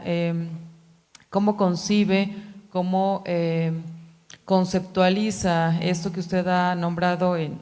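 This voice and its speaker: A woman's voice: on the low side, dark tone. A woman in the audience asking a long academic question, hesitant and searching for words. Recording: long hall reverb.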